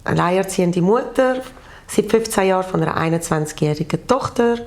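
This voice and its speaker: A woman in her forties with a Swiss German accent, a postal worker speaking in a measured, matter-of-fact way in a documentary interview. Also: fairly low pitch, lively intonation, darkish tone. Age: 40s.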